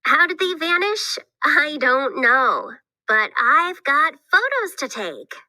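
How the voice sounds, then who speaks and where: A woman plays an eager young girl explorer in a children's read-aloud. Her voice is high and very bright, with animated swings as she explains what she knows. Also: takes it slowly, no echo, heavily acted, rough recording. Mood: wondering, upbeat.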